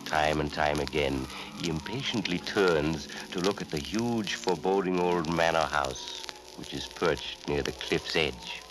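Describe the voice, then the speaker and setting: A man playing a sinister storyteller who hosts a 1940s radio horror show, speaking in an ominous, deliberate, theatrical way. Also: a bass voice, low-quality recording. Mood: ominous, brooding. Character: sinister, macabre host of horror tales, brooding storyteller. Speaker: host and narrator.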